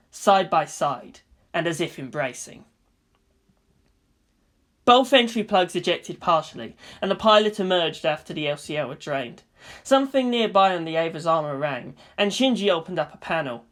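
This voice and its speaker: A woman's voice: fairly low pitch, expressive, moderate pace. A woman reading scripted story narration in a dramatic style.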